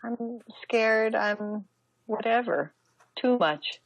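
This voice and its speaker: An American woman speaking as an interview guest, talking reflectively in a halting, casual way with many asides. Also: a little high, gravelly, dry recording, lo-fi sound. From United States. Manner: reflective, halting, with fillers and self-quoting asides. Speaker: interview guest, yoga teacher and author.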